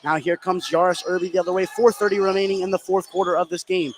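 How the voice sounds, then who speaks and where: Higher-voiced man calling basketball over loud noise. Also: steady tone, fast talker. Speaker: play-by-play sports announcer. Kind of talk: live basketball radio commentary. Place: courtside in an indoor high school gym. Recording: noisy live broadcast from a gym. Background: loud gym ambience.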